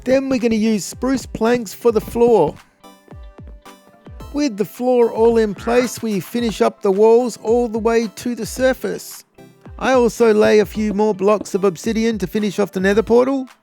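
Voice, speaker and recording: A man's voice: sits high, fairly even intonation, a little slow, gravelly, mellow, faint music behind. An Australian man narrating a gameplay tutorial as the video's host, with a jokey, blokey, informal way of talking.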